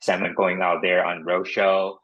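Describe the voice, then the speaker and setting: A man with a Taiwanese accent speaks in a very low register that still has a bright, forward edge. He talks casually as a startup founder being interviewed, feeling his way through answers with plenty of filler words. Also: level tone, a little slow, dull audio. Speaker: crypto startup founder and podcast guest.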